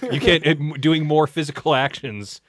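A man's voice: fairly high pitch, normal speed, a bit bright. A man co-hosting a podcast in casual comedic banter, with a wry, wisecracking manner. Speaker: podcast co-host.

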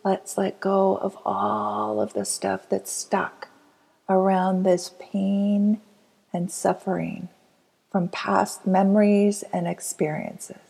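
A woman leading a guided inner child healing meditation, speaking softly and slowly and often dropping to a whisper. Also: mid-range voice, gravelly, husky. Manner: soft, slow and soothing, often dropping to a whisper.

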